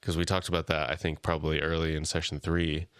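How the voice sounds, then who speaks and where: A man with a low, smooth, resonant voice co-hosts a podcast, recapping scenes in easy conversation and slipping into quick paraphrased bits of dialogue. The sound is close and dry, with no room around it. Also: little pitch movement, a bit bright, dry recording. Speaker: podcast co-host. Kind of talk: casual chat.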